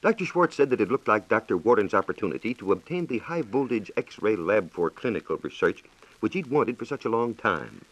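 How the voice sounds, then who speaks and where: Male American science reporter, vintage radio: lowish, animated intonation, formal explanatory delivery, dull old recording. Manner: formal, explanatory, measured diction. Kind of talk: scripted 1940s radio exposition.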